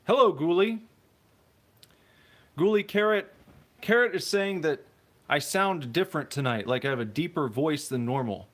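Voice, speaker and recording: A man's voice: on the high side, lively intonation, normal speed, long pauses, a bit bright. A man hosting a laid-back live stream from home, talking into a phone on a tripod. He rambles comfortably and reads viewer messages aloud. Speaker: streamer host. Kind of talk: casual live-stream chat while building. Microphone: phone mic on a tripod.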